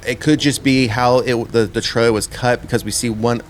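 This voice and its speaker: A man's voice: medium pitch, steady tone, moderate pace, a little hiss or hum. A man giving a casual spoken review as a host, rambling and often repeating his words as he works out his thoughts. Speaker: host of a horror trailer review show.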